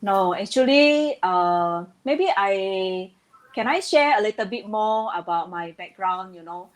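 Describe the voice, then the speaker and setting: A woman from Indonesia in her thirties talks as a guest in a casual interview. She speaks earnestly but haltingly, pausing often and filling gaps as she searches for words. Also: mid-range voice, animated. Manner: hesitant, many fillers, earnest.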